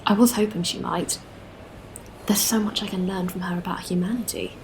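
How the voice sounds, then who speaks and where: A woman's voice: on the low side, steady tone, normal speed, vocal fry, a little hiss or hum, poor audio. A woman playing a friendly, eager alien spaceship captain in a science-fiction audio drama, talking casually. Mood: friendly, hopeful. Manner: casual, chatty. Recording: faint background ambience. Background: faint ambience.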